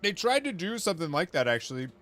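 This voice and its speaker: A man's voice: fairly high pitch, very animated, fast talker, fairly bright tone. A man giving casual commentary while playing a fantasy game and reading quest text aloud. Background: game music.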